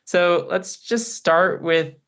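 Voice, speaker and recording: A man's voice: high-pitched, slow, fairly bright tone. A man co-hosting a podcast, speaking in a welcoming, appreciative conversational way as he interviews. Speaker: podcast co-host.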